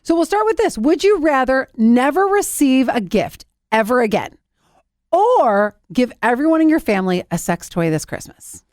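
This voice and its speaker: A woman's voice: high-pitched, big pitch swings, normal speed, darkish tone, no echo, clean studio sound. A woman co-hosting a podcast in casual banter, playful and teasing, laughing easily. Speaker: podcast co-host. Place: podcast studio.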